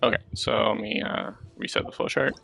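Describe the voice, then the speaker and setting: A man's voice: a little fast, sharp tone, a little hiss or hum. A man streaming a game and chatting casually as he plays. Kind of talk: casual gameplay chat.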